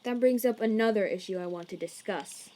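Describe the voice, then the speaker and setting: A woman voicing a haughty, prejudiced male envoy in an animated comic dub, stiff, officious and condescending. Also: medium pitch, brisk, a little hiss or hum, lightly acted. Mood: cold, officious.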